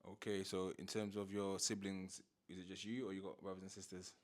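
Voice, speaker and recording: A man's voice: sits low, a little fast. A young man hosting a casual interview, asking questions with slang and the odd stammer. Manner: relaxed questioning with London slang and stutters. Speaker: interviewer and host.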